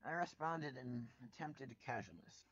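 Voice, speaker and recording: A man reading fan fiction aloud as a dramatic first-person narrator, with a wry, expressive delivery. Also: mid-range voice, unhurried, breathy edge, dry recording, muffled recording.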